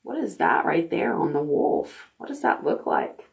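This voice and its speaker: A woman's voice: lowish voice, sing-song, gravelly. A woman librarian reading picture books aloud to preschoolers, stopping to ask the children questions. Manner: gentle, deliberate storytime delivery.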